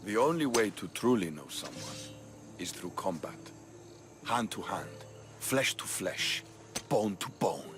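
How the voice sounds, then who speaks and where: Casting: an earnest warrior lover for a game, low pitch, measured and intimate, scored with music. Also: male voice, big pitch swings. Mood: solemn, intimate. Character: earnest, intense male Spartan warrior and romantic love interest. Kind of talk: cinematic video game acting.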